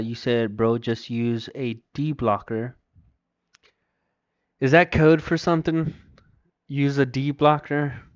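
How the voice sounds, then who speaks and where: Slow-talking man streaming with a Mexican accent. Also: mid-range voice, smooth, no echo, dull audio. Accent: Mexican Spanish. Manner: thinks aloud, mutters to himself, reads chat aloud. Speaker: livestreamer. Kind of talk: casual live commentary while installing software.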